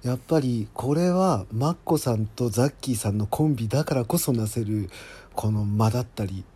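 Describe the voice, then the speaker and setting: A man talks on his own as a podcast host, in a relaxed, mellow voice at an average male pitch. He gives casual behind-the-scenes commentary as a scriptwriter, chatty and admiring as he goes. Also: normal speed, husky.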